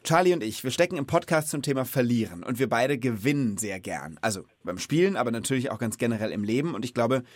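A man's voice: medium pitch, expressive, fast talker, hardly any pauses, no room sound. A man hosting a children's podcast in a casual, playful and informal chatting style. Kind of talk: casual, scripted-feeling podcast chat.

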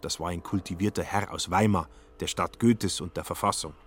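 A man's voice: low voice, fairly even intonation, fairly quick, breathy edge. A man reading scripted literary narration as the narrator of a radio drama. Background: faint music.

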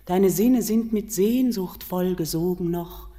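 An actress playing a mysterious, seductive queen of the mountain in a classic radio play, speaking solemnly and sinking into hushed whispers. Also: lowish voice, takes it slowly. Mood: entreating. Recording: long hall reverb.

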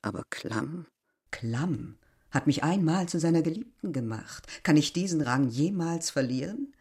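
A woman playing a proud, wistful middle-aged village innkeeper in a literary radio drama, speaking gravely and insistently. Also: sits low, lively intonation, a little slow, warm tone, heavily acted. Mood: indignant.

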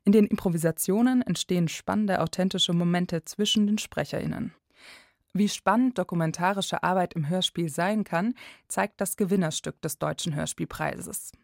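Female feature narrator, lowish voice, scripted reporting, very dry recording. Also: normal speed, creaky, dry recording. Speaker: radio reporter.

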